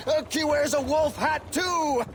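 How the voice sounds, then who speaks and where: A gloating, mocking villain officer in a game cutscene. His voice is gravelly and high for a man, swinging up and down in pitch as he drags out each taunt. Loud noise from the scene runs underneath. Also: full character voice. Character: gloating, cackling middle-aged male villain officer.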